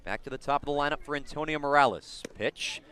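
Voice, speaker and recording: A man's voice: fairly high pitch, fast, sharp tone, clean tone. A man doing live radio baseball play-by-play as the announcer, outdoors at a ballpark. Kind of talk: live radio sports commentary.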